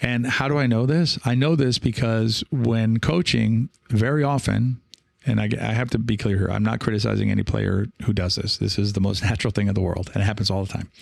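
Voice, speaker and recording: Male coach-podcaster, solo explanatory talk, measured delivery, noticeable vocal fry, very dry close sound. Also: on the low side, darkish tone, dry recording. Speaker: podcast host and pickleball coach.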